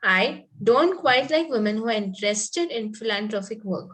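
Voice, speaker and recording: Woman doing a sweetly naive young victorian girl, prim n earnest, fairly high voice, play read-through. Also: expressive, normal speed, fairly bright tone. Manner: earnest, politely formal. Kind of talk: amateur play table read.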